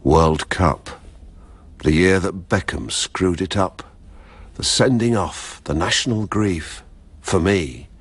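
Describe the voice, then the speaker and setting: Very deep, gravelly, broken man. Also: heavily acted. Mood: bitter grief. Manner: brooding, halting, bitter verse. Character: haunted, grief-stricken father who has lost everything. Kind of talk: poetic radio drama monologue.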